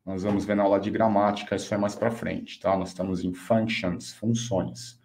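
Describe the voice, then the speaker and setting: A man in his fifties with a Brazilian accent, a native Portuguese speaker, teaching an online English lesson and slowly enunciating example phrases. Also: sits low, level tone, vocal fry, darkish tone. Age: 50s. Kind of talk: online language lesson, explaining and modelling phrases.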